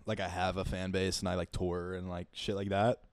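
A man's voice: low-pitched, little pitch movement, fairly quick, dry recording, studio quality. A young man co-hosting a podcast in a laid-back, rambling, casual chat. He swears a lot and laughs easily. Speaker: podcast co-host.

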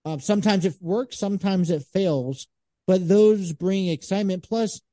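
A man's voice: high-pitched, moderate pace, dark tone, no room sound, studio quality. A man speaking as a podcast guest, discussing sports casually in a conversational way with emphatic asides.

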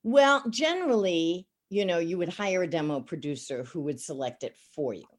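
A middle-aged woman voice actor with a raspy voice, talking in a casual, sarcastic, chatty way in an interview. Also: on the low side, expressive, moderate pace. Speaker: voice actor and teacher.

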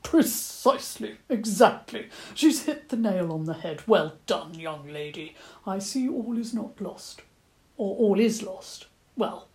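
Woman playing old male badger, storybook, gentle, fussy, lively, slightly raised voice. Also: mid-range voice, a little slow. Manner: stammering, courteous, slightly eccentric.

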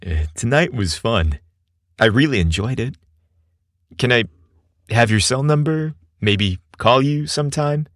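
A man's voice: mid-range voice, expressive, a little slow, pauses often, dry recording, studio quality, natural voice. Man as a sweet, hesitant young guy. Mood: shy, warm.

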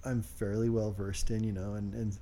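A man co-hosting a casual podcast, speaking quietly and hesitantly with lots of fillers. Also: fairly low pitch, flat delivery, normal speed, slight background noise. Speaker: podcast co-host.

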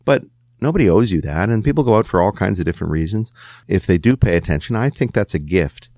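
A man's voice: deep voice, lively intonation, fast talker, a little mellow, dry recording, clean studio sound. A man in his sixties, a songwriter guest musing candidly in an interview, thinking aloud as he goes. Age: 60s. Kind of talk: reflective interview conversation.

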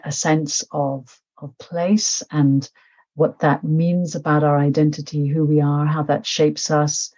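Middle-aged writer lady from nepal, mellow dark voice, thoughtful and full of ums. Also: deep voice, dull audio. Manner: reflective, many fillers and restarts. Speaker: author, podcast guest. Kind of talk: casual interview chat.

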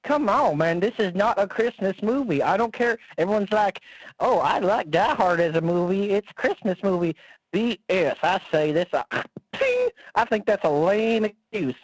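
Brief: a man doing a brash folksy Texan caller, very high and swooping, dry sound. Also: lively intonation, normal speed, no room sound, poor audio. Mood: blustery. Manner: brash, folksy, keeps calling people boy.

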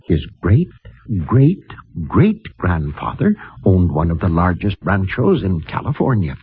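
Husky, low-voiced kindly priest. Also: male voice, very animated, unhurried, full character voice. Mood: informative, helpful. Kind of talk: 1950s radio drama acting. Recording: muffled, narrow-band vintage radio recording.

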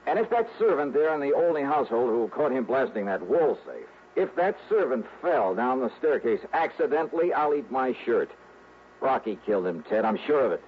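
An actor playing a tough, dogged American insurance investigator in a 1960s radio drama, clipped, brusque and slangy. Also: male voice, medium pitch, sing-song, a little fast, natural voice. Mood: insistent, skeptical. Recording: noisy, narrow-band old radio recording.

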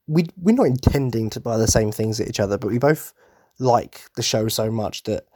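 A man hosting a casual fan podcast, tired and losing his voice, husky, rambling with lots of ums and restarts. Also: on the low side, a little mellow, no room sound, clean studio sound. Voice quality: husky, throat-clearing. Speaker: podcast host.

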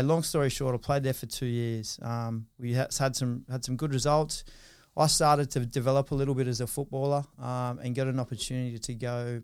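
A man's voice: medium pitch, creaky, darkish tone, smooth, no echo, studio quality. A man in his thirties with an Australian accent, telling his life story as an interview guest in a rambling way, with many fillers and restarts. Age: 30s. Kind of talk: casual interview.

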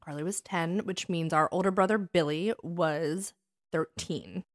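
A woman in her thirties casually telling personal stories as a podcast co-host, animated and emphatic, repeating phrases for effect. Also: fairly low pitch, a little slow, no echo, clean studio sound. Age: 30s.

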